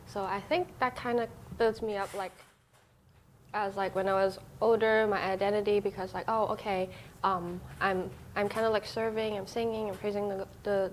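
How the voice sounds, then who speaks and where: A woman's voice: mid-range voice, slight background noise. Young woman guest. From Hong Kong. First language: Cantonese. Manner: thoughtful, halting, many fillers. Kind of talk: casual podcast chat.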